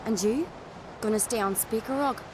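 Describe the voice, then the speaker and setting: A woman playing a composed, caring island woman in a video game, speaking in a measured way. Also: on the high side, noisy background, natural voice. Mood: composed, concerned.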